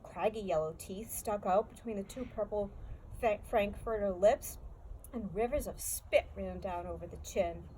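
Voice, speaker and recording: A woman reading a children's story aloud in an expressive storybook style. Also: mid-range voice, normal speed. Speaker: story reader. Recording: clearly noisy background.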